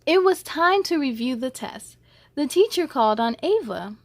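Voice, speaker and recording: A woman's voice: sits high, animated, normal speed. A woman hosting a children's story time, reading aloud expressively and speaking to young listeners in an encouraging way.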